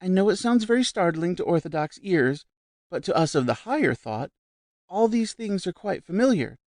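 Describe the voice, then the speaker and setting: A man voicing a vivacious, shrill middle-aged society woman who gushes about spirits, raised high and loud above his own voice. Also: very animated, normal speed, dry recording, studio quality. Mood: confiding.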